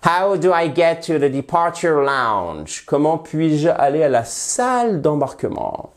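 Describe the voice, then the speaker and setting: A man teaching English phrases in French, with a head cold, lively and friendly, slowing down to model the English. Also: on the high side. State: has a cold, slightly nasal voice. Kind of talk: bilingual language lesson at a whiteboard.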